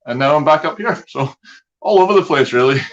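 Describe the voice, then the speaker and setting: A man's voice: a little high, lively intonation, fairly quick. Easygoing Scottish man, dull call audio. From Scotland. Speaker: guest, outdoors video creator. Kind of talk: casual chat.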